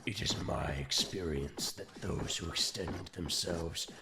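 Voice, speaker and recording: A man's voice: deep voice, measured pace, creaky, noisy background. A man playing a commanding, brooding robot warlord in a fan comic dub, with grandiose, menacing, theatrical oratory. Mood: brooding, disdainful. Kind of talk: dramatic villain monologue and narration, fan comic dub.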